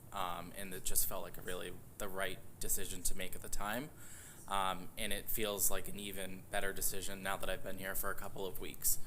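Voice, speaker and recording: Deep, flat-voiced man introducing himself. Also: slight background noise. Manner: steady, earnest, with filler ums. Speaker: English teacher. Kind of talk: formal self-introduction at a meeting.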